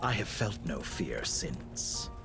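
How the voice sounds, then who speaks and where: An actor playing a cold, cultured villainous scientist in a video game, speaking in eloquent, measured, theatrical phrases. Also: male voice, low-pitched, big pitch swings, gravelly, full character voice. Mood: coolly probing. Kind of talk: scripted video game voice acting. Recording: music under the voice.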